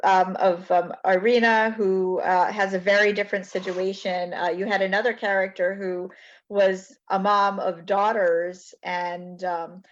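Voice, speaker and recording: A woman hosting a library podcast, asking questions slowly with many pauses and restarts. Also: medium pitch, level tone. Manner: hesitant, many ums and restarts. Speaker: podcast host. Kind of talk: interview questions. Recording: dull lo-fi sound.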